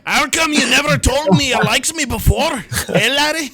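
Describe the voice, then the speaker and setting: A man voicing a blunt teenage girl with a Scottish accent in a squeaky falsetto. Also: moderate pace, a loud crowd. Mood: teasing.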